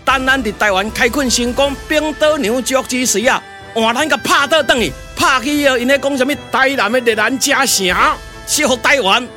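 A man with a Taiwanese accent voicing a hearty, excitable sworn brother of a rebel band, emphatic and theatrical in puppet radio drama. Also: extremely high pitch, moderate pace. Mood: rousing. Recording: music under the voice.

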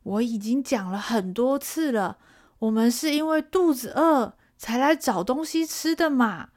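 A woman voicing a poor, stubborn orphan peasant boy who talks in a drawn-out, pleading way. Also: fairly high pitch, expressive, a little mellow. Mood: defiant. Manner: defiant, pleading, drawn-out delivery.

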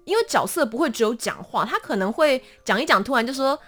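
A young woman with a Taiwanese Mandarin accent speaks in a fairly high voice. Her intonation swings widely as she explains things casually and with some self-deprecation. Faint music plays behind her. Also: quick pace. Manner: animated, self-deprecating, acts out small examples. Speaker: interview guest, voice actor.

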